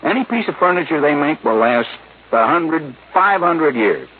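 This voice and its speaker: A man's voice: on the high side, lively intonation, moderate pace, slightly rough. A man with an American accent playing a folksy, teasing insurance company man in a vintage radio drama, in an emphatic conversational style. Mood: admiring, emphatic. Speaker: radio drama supporting actor. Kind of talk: 1950s radio acting. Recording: tinny narrow-band vintage radio, faint noise.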